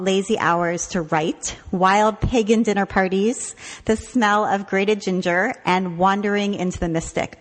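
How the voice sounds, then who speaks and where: A woman hosting a podcast interview, friendly, with ready laughs and short affirming replies. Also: medium pitch. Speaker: podcast host. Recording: long reverb on voice.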